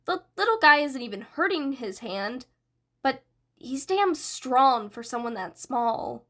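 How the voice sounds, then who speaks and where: A blunt, easygoing villain woman, voiced by a woman reader, speaking plainly with a bright, slow delivery. It sits in a fan audiobook reading. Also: high voice, big pitch swings, full character voice. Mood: amused. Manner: blunt, casual. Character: blunt casual woman villain, big-sister type.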